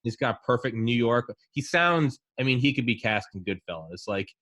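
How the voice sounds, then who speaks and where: A man in his thirties hosts a podcast in a casual, rambling style. His voice sits at a medium pitch, and he talks with enthusiasm, filling his speech with slang and asides. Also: lively intonation, moderate pace, a bit bright. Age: 30s. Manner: rambling and enthusiastic, many likes and mans. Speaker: podcast host.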